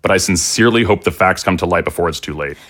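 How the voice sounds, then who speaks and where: An actor playing a grave, guarded older general in an audio drama, speaking in a formal, measured, confiding way. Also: male voice, sits low, fairly bright tone. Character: grave, guarded older male military general, quietly loyal.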